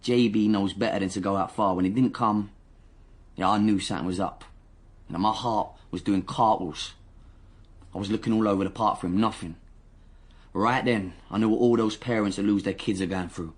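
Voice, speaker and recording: A young gang member in a radio drama interrogation. His voice is low and husky. He talks in streetwise slang, cocky and indignant, always justifying himself. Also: steady tone, moderate pace. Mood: self-justifying. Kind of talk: naturalistic radio drama dialogue.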